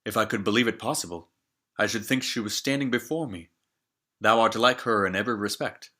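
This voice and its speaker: A man's voice: on the low side, fairly quick, sharp tone. A man voicing a stern young prince in a storybook reading, formal and commanding in manner. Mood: earnest, wondering. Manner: formal, commanding, archaic phrasing.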